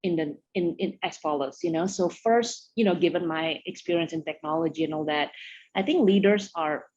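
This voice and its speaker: A woman's voice: low voice, normal speed, darkish tone, lo-fi sound. A middle-aged woman from Indonesia, a tech leader answering interview questions thoughtfully, with many "you know" fillers.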